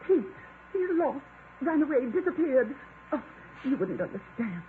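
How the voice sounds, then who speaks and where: A wealthy older matron in a 1950s radio drama, imperious and easily wounded. Her high voice is haughty and theatrical, rising and falling widely. The recording is narrow, with faint noise. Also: sing-song, normal speed. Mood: offended, aggrieved. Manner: haughty, melodramatic.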